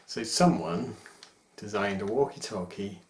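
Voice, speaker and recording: Man, 40s, low voice, informal hobbyist video presenter, roomy home recording with faint noise. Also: a little slow, a little mellow. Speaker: toy collector presenting a video. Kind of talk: casual chat to camera about collectible figures. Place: small domestic room indoors. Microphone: camera microphone at about arm's length. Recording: echoey room with faint noise.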